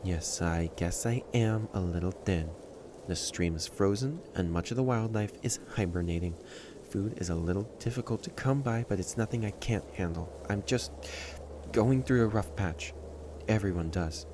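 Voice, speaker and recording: A low-pitched, proud stray catboy, acted by a man speaks straight to the listener in an emotional audio roleplay. He is haughty and halting, a defensive tsundere front over loneliness. Clear noise sits behind the voice. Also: close to an everyday voice. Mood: flustered, stoic.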